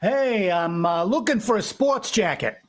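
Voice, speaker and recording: A man's voice: high voice, expressive, unhurried. A man playing an unimpressed, penny-pinching customer, casual and hesitant, in a vintage-style radio drama with faint noise. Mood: hard to please.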